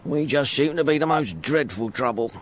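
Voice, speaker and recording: Actor as a rambling poor old errand porter. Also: male voice, mid-range voice, expressive, normal speed, lightly acted. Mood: glum musing. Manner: rambling, folksy, ungrammatical working-class speech. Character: poor, humble ageing errand porter, devoted father. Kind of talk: radio drama acting, first-person monologue.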